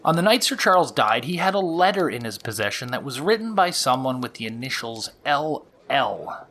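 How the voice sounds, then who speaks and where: Casting: courteous but nervy gentleman sleuth for audio drama; bright voice, lively pitch, halting stammer. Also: exaggerated voice. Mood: polite, probing. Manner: hesitant, stammering, polite. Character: Doctor Watson, polite, nervous gentleman investigator.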